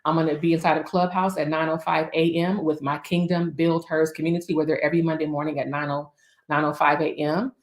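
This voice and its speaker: A woman's voice: sits low, flat delivery, brisk. A woman in her fifties, a minister, preaching a decree to online viewers in rhythmic repeated lists with chatty asides. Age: 50s. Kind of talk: livestream prophetic decree. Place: office. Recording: echoey room.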